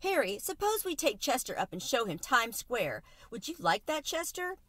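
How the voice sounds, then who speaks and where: Storybook city mouse played by a woman: male, streetwise, chatty, scornful, bright and punchy, pitched up. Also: big pitch swings, moderate pace, no echo. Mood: opinionated. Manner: emphatic, casual, big-city patter.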